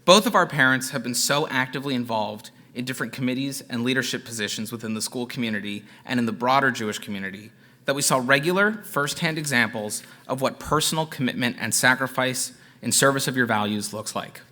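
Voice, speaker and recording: A young man giving an earnest, heartfelt prepared speech at a gala, thanking his parents in a measured way. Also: medium pitch, pushed and bright. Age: young adult. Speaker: alumnus and son giving a gala tribute.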